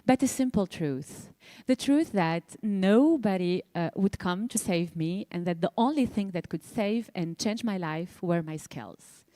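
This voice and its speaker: A woman from Tunisia giving a prepared startup pitch as founder and CEO, earnest and emphatic in her storytelling. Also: mellow, dry recording.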